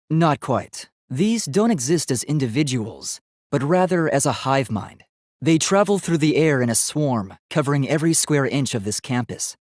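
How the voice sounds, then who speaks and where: Casting: earnest young robot boy for a video game, played by a man, very expressive pitch, courteous and literal-minded, close dry sound. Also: no room sound, close to an everyday voice. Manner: formal, precise, literal-minded phrasing. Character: earnest, polite young male robot, naive but resolute.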